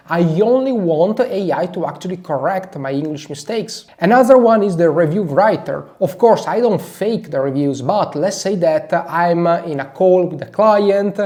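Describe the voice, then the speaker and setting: A man from Italy with an Italian accent, a non-native English speaker giving an energetic, emphatic screen-share tutorial and leaning on "actually" a lot. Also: on the high side. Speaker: marketing advisor.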